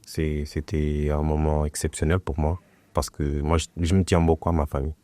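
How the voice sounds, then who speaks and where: A young man from Portugal whose first language is Portuguese, talking as a guest in a relaxed, hesitant way and often restarting his phrases. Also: very deep voice, moderate pace. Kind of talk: casual conversation.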